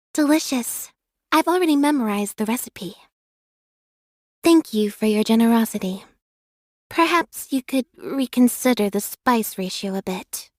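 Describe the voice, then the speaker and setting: A woman voicing a reserved, observant young magician's assistant in a video game, speaking in a calm, measured deadpan. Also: fairly high pitch, dry recording, studio quality. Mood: quietly pleased. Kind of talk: scripted character voice lines.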